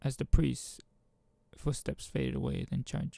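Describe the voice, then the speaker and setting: A man giving a casual let's play of a visual novel, reading text aloud and rambling as he thinks out loud. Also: mid-range voice, level tone, unhurried, vocal fry, no echo. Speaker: gaming video host.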